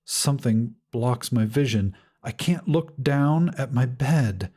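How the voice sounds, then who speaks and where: Slow, measured man narrating a story. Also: mid-range voice, animated, dark tone, slightly rough. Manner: measured, dramatic, with deliberate pauses. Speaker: audiobook narrator. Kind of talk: scripted first-person story narration.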